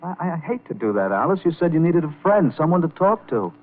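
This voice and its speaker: A man's voice: fairly high pitch, sing-song, fast. An actor playing an earnest, protective young man smitten with a married woman, in old-time radio drama style. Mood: reluctant, protesting. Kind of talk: 1940s-50s radio drama acting. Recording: vintage radio, phone-like band, hum and hiss.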